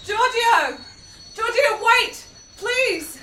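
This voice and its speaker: An actress playing a frail, needy dying woman in a period radio drama, halting and beseeching in her delivery. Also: very high voice, expressive, bright, forceful tone, a little hiss or hum, heavily acted. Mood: desperate, apologetic.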